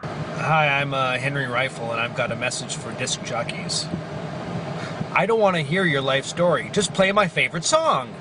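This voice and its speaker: A man delivering absurd comic monologues in a deadpan, self-mocking way. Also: mid-range voice, normal speed, noisy background. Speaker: comedy writer and performer.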